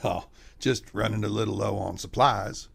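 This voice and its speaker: A man's voice: lowish voice, normal speed, creaky, a bit bright, husky, heavily acted. A man playing a folksy, plain-spoken backwoods moonshiner father with a rural Southern US accent in a radio drama. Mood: matter-of-fact.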